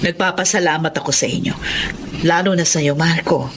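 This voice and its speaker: A woman's voice: sits low, normal speed, slight background noise, lightly acted. An actress playing a gracious, trusting woman customer in a Filipino-accented radio drama. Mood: grateful, relieved.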